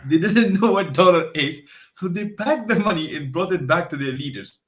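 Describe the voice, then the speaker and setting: A man's voice: high voice, animated, moderate pace, a crowd in the background. A man from Afghanistan with an Afghan accent, speaking as an expert guest in a conversational interview, explaining and telling stories with emphatic repetition.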